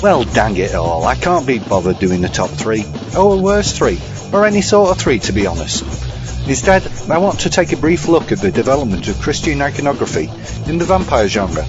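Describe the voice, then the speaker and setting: A man's voice: mid-range voice, very animated, normal speed. A man presenting scripted commentary as a podcast segment host, in a dry, bookish manner. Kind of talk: scripted film and book commentary. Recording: slightly dull sound over music.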